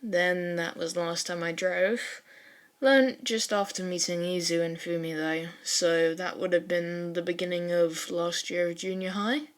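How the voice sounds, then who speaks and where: Woman as a deadpan teenage boy. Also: fairly low pitch, a little slow, natural voice. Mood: dry. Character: laconic, dry, smirking teenage boy.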